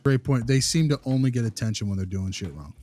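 A man's voice: mid-range voice, fast talker, dark tone, soft background music. A man talking through his opinions in a casual podcast discussion, repeating phrases for emphasis. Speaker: podcast co-host, behavior analyst.

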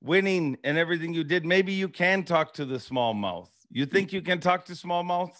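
A middle-aged man hosting an interview, asking questions with quick restarts. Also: a little high, fairly bright tone. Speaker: podcast host. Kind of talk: casual interview. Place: home studio room. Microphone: headset and studio mic.

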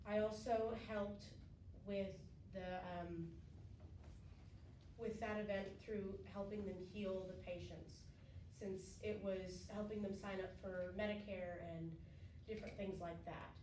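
A young woman gives a prepared class speech, hesitant with many ums, her voice a little low from being sick. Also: steady tone, measured pace, dark tone. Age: young adult. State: slightly sick, voice lower than usual. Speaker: student giving a class speech. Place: classroom with conference tables, indoors. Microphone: far camera at the back of the room. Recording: roomy, distant camera audio with background hiss.

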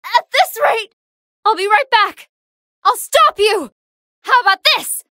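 A woman's voice: very high voice, expressive, slow pace, bright, forceful tone, no room sound, clean studio sound, exaggerated voice. A woman playing a good-hearted female android fighter in an anime fighting game, earnest and polite, with emphatic battle lines. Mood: fierce battle cries.

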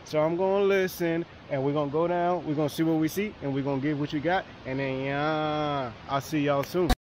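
A man's voice: fairly high pitch, steady tone, fairly quick. A young man talking casually to camera outdoors in a colloquial, easygoing way, as a travel vlogger. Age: young adult. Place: outdoors by a roadside and river waterfall. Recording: outdoor camera audio with ambient noise.

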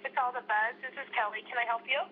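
A woman's voice: on the high side, fairly even intonation, fast. A woman in a casual phone conversation, polite and matter-of-fact, sounding a little puzzled. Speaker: private seller taking a phone call. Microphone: telephone heard over speaker. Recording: tinny phone line with faint noise.

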